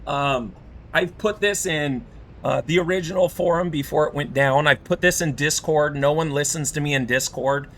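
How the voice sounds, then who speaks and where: A man venting on a game stream in rambling, casual talk, his voice worn hoarse and gravelly from a long day of talking. His tone is bright, with a faint hiss of noise behind him. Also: fairly high pitch, moderate pace. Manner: frustrated, rambling, many fillers. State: voice going hoarse.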